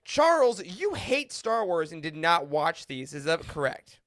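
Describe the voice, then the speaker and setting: This is a podcast host in casual, jokey conversation. The voice sits at a middle pitch and is very lively, swinging up and down as it moves between announcements and banter. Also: unhurried. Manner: animated, jokey. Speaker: host of a fan roundtable show. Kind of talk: casual chat, hosting.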